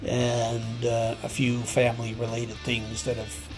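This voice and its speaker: A man who hosts an amateur radio channel, talking to his subscribers in a casual, unscripted, halting and reflective way that rambles. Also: medium pitch, over music, low-quality recording. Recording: clear steady background noise.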